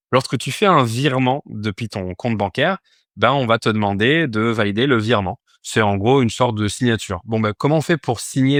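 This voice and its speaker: Male instructor, lowish voice, informal explanatory tutorial delivery, question-and-answer phrasing, dry close sound. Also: a bit bright, dry recording. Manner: explanatory, poses questions then answers them. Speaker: instructor teaching a crypto finance course. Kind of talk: informal step-by-step tutorial with screen demonstration.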